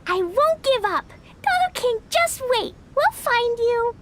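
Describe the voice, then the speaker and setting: A woman voicing an energetic, innocent little girl in a video game, with a childlike, exclaiming delivery. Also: extremely high pitch, takes it slowly, a little hiss or hum, heavily acted. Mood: determined. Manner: childlike, exclaiming, stumbles over big words. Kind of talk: video game character acting. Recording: music behind the voice.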